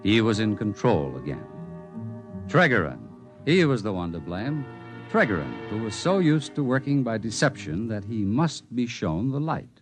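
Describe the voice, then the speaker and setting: Low-voiced solemn radio narrator, man. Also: sing-song, normal speed. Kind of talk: scripted 1950s radio drama narration. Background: prominent music.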